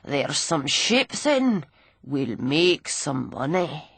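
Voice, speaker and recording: A drunken, grimy old street musician with a cracked, ragged voice, as a woman reads him in a storybook. He drawls slowly and sneers, pitched deep and low under his breath, recorded dry and close. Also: sing-song, gravelly, breathy edge, no echo, exaggerated voice. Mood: sly, wheedling.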